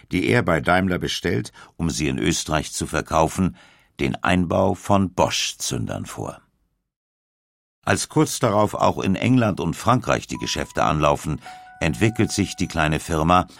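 A man reading a scripted documentary narration in a measured storytelling way with deliberate emphasis. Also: a bass voice, vocal fry, a bit bright, no room sound. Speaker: audiobook narrator.

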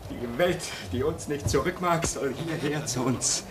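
A man's voice: a little high, unhurried, background noise, lightly acted. An actor playing a bitter, restless man at a polar station in a theatrical, sardonic German radio play. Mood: mocking merriment. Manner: theatrical, sardonic, repeats words.

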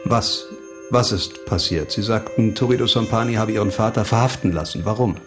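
An actor playing a weary, terse investigator who asks probing questions in a German radio crime drama. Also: male voice, lowish voice, a little fast, lightly acted. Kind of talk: German radio play acting. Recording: music under the voice.

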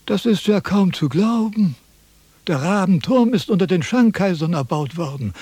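An actor playing a pompous, self-important ancient Chinese sage in a radio drama, lecturing in a condescending, impatient way. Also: male voice, sits high, animated, moderate pace, mellow, breathy edge, no room sound. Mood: lecturing, intrigued. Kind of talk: theatrical radio drama dialogue.

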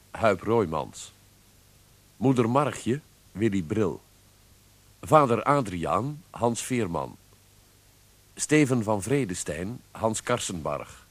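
A man's voice: lowish voice, no echo. A man reading closing credits as a radio announcer, in a formal, scripted style.

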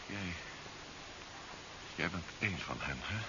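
A man's voice: low voice, animated, unhurried. A man playing a desperate, stubborn hero betrayed by his wife in a 1970s science fiction radio drama, urgent and intense. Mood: shocked, accusing. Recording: muffled, hissy 1970s radio recording.

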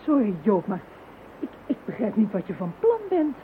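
Een vrouw die in een hoorspel de beheerste, beleefde echtgenote van een hoofdinspecteur speelt. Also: female voice, mid-range voice, very animated, moderate pace, close to an everyday voice. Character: composed woman, the chief inspector's wife. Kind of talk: radio play acting. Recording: lo-fi phone-band radio recording with faint hiss.

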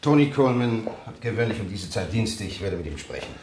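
An actor playing a courteous, helpful police sergeant in a German radio crime play, speaking in a businesslike way. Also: male voice, on the low side, sing-song, brisk, darkish tone, roomy sound, slight background noise. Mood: helpful, matter-of-fact.

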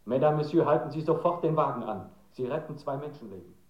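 A man's voice: on the high side, fast talker. A radio announcer making an emphatic emergency broadcast. His raised male voice is formal and urgent, with echo and a dull, filtered radio sound. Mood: urgent, grave.

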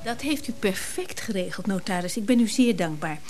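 An actress as a mellow, lively-pitched widow. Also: female voice, brisk, soft background music, natural voice. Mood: brisk, weary. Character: decisive, coaxing widow eager to leave. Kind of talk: naturalistic radio play acting.

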